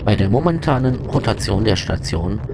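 A man playing a calm, formal space station computer in a science fiction audio drama, reporting precisely and without emotion. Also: on the low side, heavy background noise. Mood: calm, matter-of-fact. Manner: precise, measured, unemotional reporting. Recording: loud music bed behind voice.

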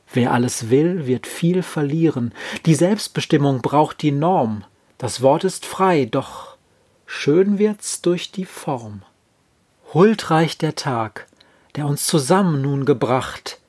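A man reciting verse as a poem reader, in a measured and solemn manner. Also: a little high, darkish tone. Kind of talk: poetry recitation.

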